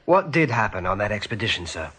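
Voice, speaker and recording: An actor playing an insistent young rabbit in a radio play, respectful but pressing. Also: male voice, lowish voice, sing-song, fast, fairly bright tone, breathy edge, heavily acted.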